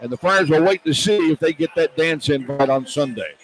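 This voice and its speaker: A man's voice: fairly high pitch, fairly quick, poor audio. A man working as a radio play-by-play sportscaster, giving basketball broadcast commentary from courtside in an arena.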